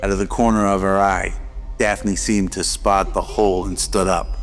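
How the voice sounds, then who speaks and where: Deep, even-toned male narrator. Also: normal speed, soft background music. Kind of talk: scripted audio drama narration.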